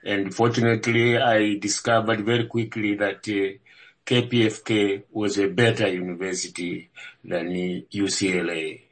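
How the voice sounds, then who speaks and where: Older man from Zambia, measured and low. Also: little pitch movement, poor audio. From Zambia and South Africa. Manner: measured, with frequent hesitations. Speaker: board candidate. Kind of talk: public meeting self-introduction.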